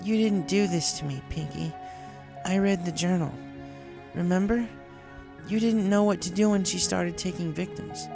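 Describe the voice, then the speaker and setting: A woman's voice: fairly low pitch, normal speed, creaky, music in the background, voice pushed up. A woman voicing a blunt, accusing workhorse mare in a dramatic story reading with a stern manner. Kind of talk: dramatic audio fiction reading.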